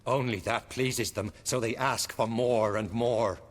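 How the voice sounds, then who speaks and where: Man playing a husky, slow, haunted clansman. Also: mid-range voice, steady tone, lightly acted. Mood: haunted, reverent. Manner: slow, solemn, archaic phrasing. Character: tormented, guilt-ridden Norse clansman, devout and haunted. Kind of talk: video game cutscene acting. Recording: long hall-like reverb.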